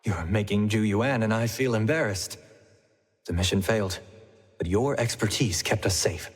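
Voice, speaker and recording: A man voicing a calm, tactful team leader in a video game, polite and measured. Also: lowish voice, husky.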